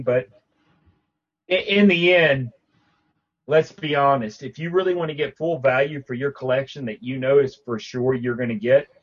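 A man's voice: mid-range voice, husky. Rambling man with dull lo-fi sound. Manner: rambling, frequent restarts and fillers. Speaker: podcast co-host. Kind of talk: casual panel chat about collecting.

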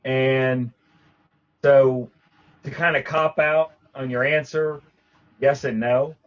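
A man's voice: medium pitch, fairly even intonation, slow pace, muffled recording. A man co-hosting a casual talk show about collecting, rambling with frequent restarts and fillers. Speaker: podcast co-host.

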